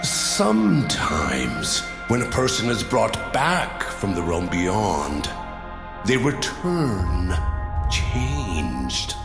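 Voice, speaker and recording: Man as a solemn shaman in deep reverb. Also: wildly swooping pitch, extremely slow, gravelly, full character voice. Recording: echoing hall reverb, loud music behind.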